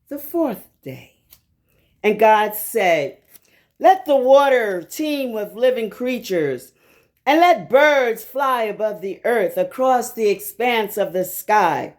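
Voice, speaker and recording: A woman reading scripture aloud in a measured, deliberate and solemn way, pausing between phrases. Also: mid-range voice, a little mellow. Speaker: scripture reader.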